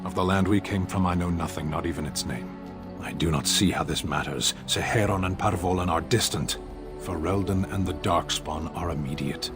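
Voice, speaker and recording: An actor playing a blunt, dutiful warrior who speaks in a flat, terse, literal way, with game music behind him. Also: male voice, sits low, moderate pace. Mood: stern. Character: stern giant male warrior, blunt and dutiful.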